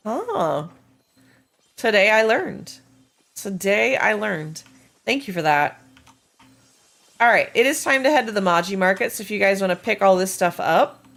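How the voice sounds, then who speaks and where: Slightly hoarse, gravelly woman streamer. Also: mid-range voice, fairly bright tone. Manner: chatty and playful, reading and answering chat while playing. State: slightly hoarse, losing her voice. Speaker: game streamer. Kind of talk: casual live-stream chat with viewers.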